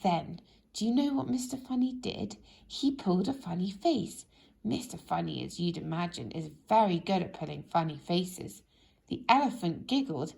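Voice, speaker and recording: A woman reading a children's story aloud in an expressive, animated way, speaking directly to young listeners. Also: on the low side, normal speed, creaky. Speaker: storybook reader.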